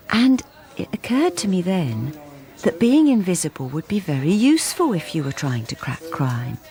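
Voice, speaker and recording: Low, creaky-voiced invisible wife. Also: female voice, a little mellow, full character voice. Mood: wistful wonder. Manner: hesitant, confiding, understated. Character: lonely invisible middle-aged wife narrating, wistful and confiding. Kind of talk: first-person radio drama narration.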